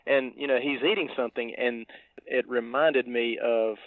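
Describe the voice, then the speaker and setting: A man co-hosting a podcast over a tinny line, casually discussing a film in a halting, thinking-aloud way. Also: mid-range voice, fairly even intonation, no room sound. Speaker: podcast co-host. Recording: tinny, dry phone-like line.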